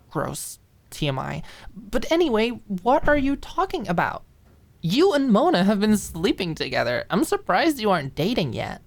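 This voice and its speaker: A woman voicing an excitable, confident, gossipy young woman in a dramatized audiobook, gushing and slangy. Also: mid-range voice, gravelly, voice pushed up. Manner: gushing, dramatic, teenage-style slangy delivery. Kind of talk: dramatized audiobook character acting.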